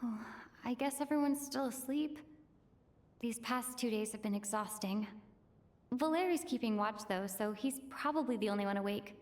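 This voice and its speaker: A woman voicing a weary, soft-spoken young adventurer in a video game, musing to herself. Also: fairly high pitch, normal speed, natural voice. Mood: drowsy, pensive.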